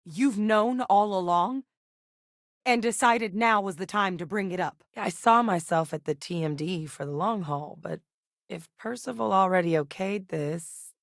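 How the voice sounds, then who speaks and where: An actress playing a seasoned, determined woman scientist and soldier in a video game, speaking in an earnest, measured, matter-of-fact way. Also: mid-range voice, no echo, clean studio sound, natural voice. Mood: quietly stunned. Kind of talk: video game character dialogue.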